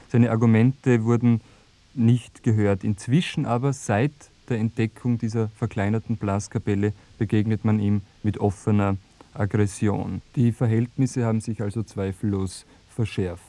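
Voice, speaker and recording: An actor plays a male radio documentary presenter with a low, dark, mellow voice. He narrates in a measured, matter-of-fact way, keeping his melody fairly even. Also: no room sound, lightly acted. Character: sober male radio documentary reporter summing up research.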